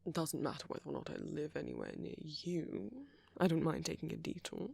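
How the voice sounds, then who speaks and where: An ancient vampire gentleman, acted by a man for an ASMR roleplay, speaks very close and dry. His voice is breathy and husky with a gravelly fry, often slipping toward a whisper. He is courteous, friendly and teasingly flirtatious, with soft hesitations. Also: on the high side, fairly even intonation, brisk, no echo. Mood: teasing, flirty. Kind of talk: ASMR roleplay monologue, intimate and conversational.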